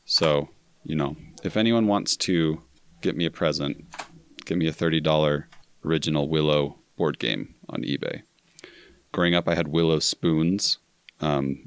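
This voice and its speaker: A man's voice: a bass voice, clean tone, no room sound. A man guesting on a casual podcast, easygoing and enthusiastic as he tells stories.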